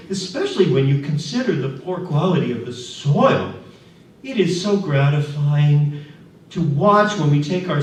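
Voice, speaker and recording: A man voicing a kindly, cheerful old gentleman who speaks warmly and encouragingly. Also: on the high side, pitched above the performer's own voice. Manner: warm, beaming, gently encouraging. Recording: echoey room, voice from far off.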